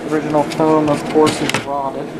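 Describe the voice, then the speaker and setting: Casting: tinkerer for casual teardown commentary, mid-pitched voice, muttering and mildly profane, recorded over loud room noise. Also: little pitch movement, moderate pace. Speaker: vintage electronics hobbyist presenting. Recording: noisy room with loud background hum.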